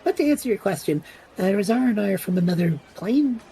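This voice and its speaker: A woman's voice: medium pitch, animated, a little fast, gravelly, warm tone. A woman voicing a cocky, flippant young male student adventurer in an audio drama, breezy, sarcastic and slangy.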